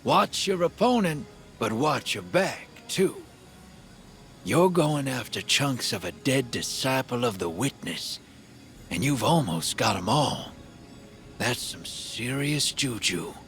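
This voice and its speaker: A man's voice: mid-range voice, animated, slow, a bit bright, soft background music. An actor playing a worldly, sly male drifter in a video game, with a folksy, streetwise drawl. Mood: cautionary. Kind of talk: video game dialogue acting.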